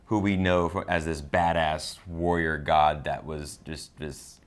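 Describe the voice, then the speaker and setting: A man's voice: a bass voice, little pitch movement, unhurried. A man co-hosting a casual film discussion, enthusiastic and slangy in the way he talks. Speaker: co-host of a movie review show.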